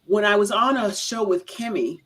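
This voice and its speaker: A woman with an American accent talks as a show host in a mid-pitched voice. Her delivery is conversational and affirming, and she doubles up phrases for emphasis. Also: moderate pace. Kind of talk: casual conversational interview.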